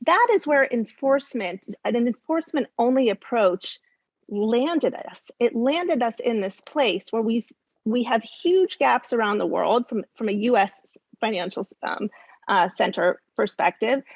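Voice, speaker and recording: A woman speaking off the cuff as a policy expert, halting and emphatic with repeated words. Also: on the high side, lively intonation, breathy edge, no echo, highs cut off. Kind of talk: spontaneous panel commentary.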